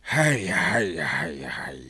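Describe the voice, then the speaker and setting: A man's voice: low voice, sing-song, drawn-out words, vocal fry, pushed and bright, full character voice. An actor playing a gloomy, sighing, penniless village man in a Filipino comedy drama, with an Ilocano accent. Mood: gloomy, irritated. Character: gloomy, sighing broke village man, brooding over money. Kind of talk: broadcast comedy drama acting.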